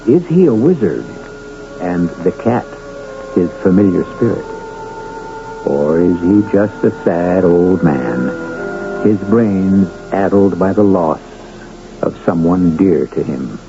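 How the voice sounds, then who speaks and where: A male host narrates a radio mystery with deliberate, portentous phrasing. His voice is lowish and creaky, on a dull vintage broadcast recording. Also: lively intonation, music in the background.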